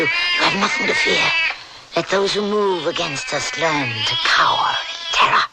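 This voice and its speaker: Menacing queen of the night creatures. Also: deep voice. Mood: crooning, protective. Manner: grandiose, theatrical. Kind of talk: radio horror drama acting. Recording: very noisy old radio broadcast, animal sounds.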